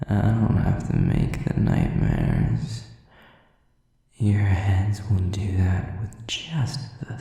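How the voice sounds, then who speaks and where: Sadistic demon male actor, very dark low voice, breathy taunting whisper, contemptuous of humans, for audio roleplay, drenched in echo. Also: full character voice. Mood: smug menace. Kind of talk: whispered dramatic monologue to the listener. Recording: distant, very echoey, muffled sound.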